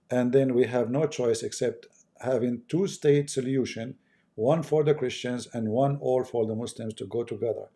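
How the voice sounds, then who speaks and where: Arabic-accented man preaching, dark-toned voice. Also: mid-range voice. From Middle East. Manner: preachy, rhetorical questions, slows to stress words. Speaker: religious commentator. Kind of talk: impassioned monologue.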